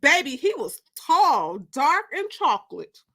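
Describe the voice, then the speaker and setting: High, bright, theatrical woman storyteller. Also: unhurried. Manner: expressive, theatrical. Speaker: author and host of live story time. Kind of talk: casual livestream chat and dramatic book narration.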